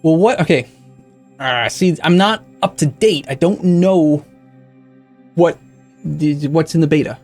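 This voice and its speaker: Streamer type: young man, slightly high voice, loose rambling chat, faint music underneath. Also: measured pace, pauses often, darkish tone. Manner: rambling, self-correcting, reads and answers chat. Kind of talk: casual live-stream chatter while setting up a game.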